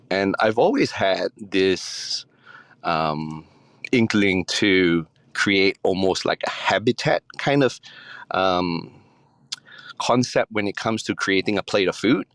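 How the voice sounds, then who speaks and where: A man from Singapore, a chef guesting on a podcast, answering in a relaxed, hesitant way with many ums and restarts. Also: deep voice, smooth, dry recording.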